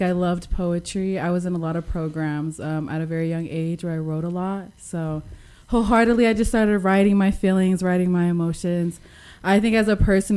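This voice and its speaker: Young woman from Western Samoa, soft-spoken and slangy, slightly low voice; a musician guest on local radio. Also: clear, resonant tone. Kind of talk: casual radio interview.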